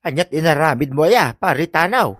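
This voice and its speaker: A man's voice: a little high, lively intonation, brisk, fairly bright tone, no echo, studio quality. A man playing a teasing, nosy Filipino neighbour with an Ilocano accent in a broad comic drama. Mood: astonished.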